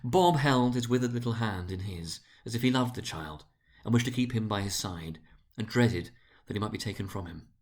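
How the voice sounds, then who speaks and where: A man narrating a story as a dramatic reading, theatrical and expressive. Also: on the low side, normal speed.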